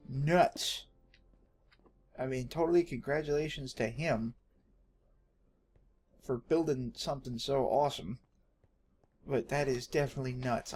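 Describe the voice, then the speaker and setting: A man's voice: on the high side, darkish tone. A man from Texas with a Texan accent gives casual, rambling gameplay commentary as a video host, jokey and self-deprecating, often talking to himself. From Texas, United States. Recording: home recording with faint background noise.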